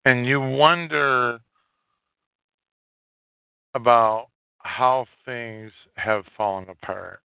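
A man hosting a solo podcast, giving opinionated commentary in halting, emphatic phrases with a lot of repetition. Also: medium pitch, gravelly, smooth, no echo. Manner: coarse, swearing. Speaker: podcast host. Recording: narrow phone-like line, dry.

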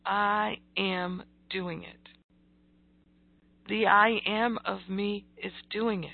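Female motivational spiritual teacher, very unhurried and emphatic, croaky voice, thin telephone-quality sound. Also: mid-range voice, fairly even intonation, no echo. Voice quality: croaky, gravelly. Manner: deliberate, emphatic, repeats phrases. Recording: thin, phone-like audio.

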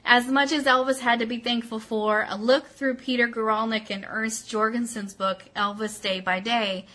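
A woman's voice: a little high, steady tone, moderate pace, sharp tone. A woman hosting a live online radio show, chatty and casual as she speaks directly to her audience. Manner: chatty, addresses viewers directly by name. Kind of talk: casual livestream chat, greeting viewers and reading an article aloud.

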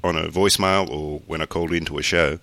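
A man's voice: rumbling low, steady tone, fast talker, pushed and bright, no room sound. A man with an Australian accent, co-hosting a casual podcast chat in a laid-back, jokey way. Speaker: podcast co-host.

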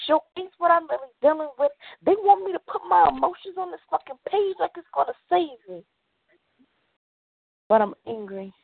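A woman performs a confessional spoken word poem in a dramatic, deliberate way, with long pauses between phrases. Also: a little high, gravelly, no echo, narrow, tinny audio.

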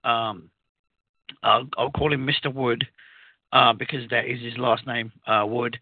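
A man from outside the US, co-hosting a talk radio show, speaking in a halting way with many fillers and restarts. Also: medium pitch, little pitch movement, no room sound. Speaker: radio co-host. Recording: phone-like narrow sound, dry.

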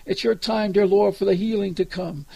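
A man's voice: high-pitched, fairly even intonation, normal speed, vocal fry, mellow, no room sound. An American man praying aloud as a preacher, earnest and pleading, improvising as he goes and repeatedly addressing God. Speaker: preacher leading prayer. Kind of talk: extemporaneous spoken prayer.

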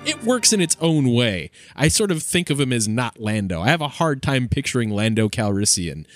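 A man's voice: medium pitch, sing-song, normal speed, faint music behind. A man on a podcast panel chatting casually, with a wordy, analytical way of talking. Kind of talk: casual discussion.

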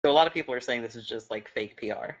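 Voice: medium pitch, racing, breathy edge, lo-fi sound. A podcast co-host with a mid-range voice, speaking bluntly. They tease the others constantly and swear freely. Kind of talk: casual chat.

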